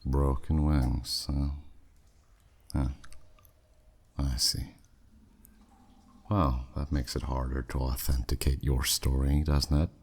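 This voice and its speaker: A man's voice: very deep voice, level tone, takes it slowly, long pauses, a little mellow, slightly rough, full character voice. A man playing a haughty, flirty, skeptical demon buyer in a scripted ASMR audio roleplay, with a sardonic, dismissive drawl. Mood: cool, skeptical.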